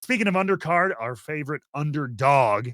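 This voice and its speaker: Male podcast host, higher-pitched and sharp, theatrical wrestling-announcer delivery, close dry sound. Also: lively intonation, normal speed, no echo. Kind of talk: animated show introduction and wrestling preview.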